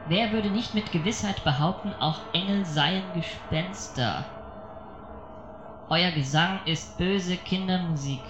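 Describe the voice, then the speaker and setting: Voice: mid-range voice, a little slow, lo-fi sound, noisy background, lightly acted. A performer playing a cold, vengeful angel in a poetic radio-play monologue, solemn, declamatory and sorrowful. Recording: roomy echo with music behind. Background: music.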